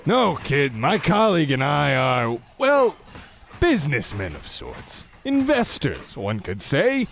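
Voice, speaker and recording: A man's voice: a little high, huge pitch swings, slow pace, creaky, slight background noise, heavily acted. A man playing a blunt, literal-minded goon sidekick with wry, streetwise slang in a comic radio play. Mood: hesitant, wheedling. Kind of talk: comic old-time radio play acting.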